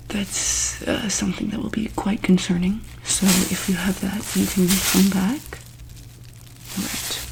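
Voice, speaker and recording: A woman softly speaking and whispering in an ASMR roleplay as a calm, gentle doctor, with hesitant, reassuring bedside talk. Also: mid-range voice, background noise. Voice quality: soft, breathy, often dropping into whisper. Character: calm, attentive doctor at a monster hospital. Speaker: ASMR roleplayer playing a medical examiner.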